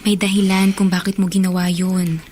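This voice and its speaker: A woman acting as a gentle, reassuring companion in a Tagalog radio drama, with a soothing, persuasive delivery. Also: lowish voice, little pitch movement, normal speed, slight background noise, natural voice.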